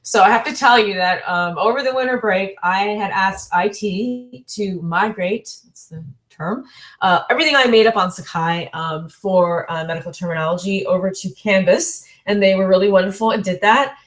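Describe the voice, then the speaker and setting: A woman's voice: medium pitch, normal speed, fairly bright tone, echoey room. A woman in her forties giving a recorded online lecture, chatty and full of fillers, correcting herself and laughing lightly between points. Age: 40s.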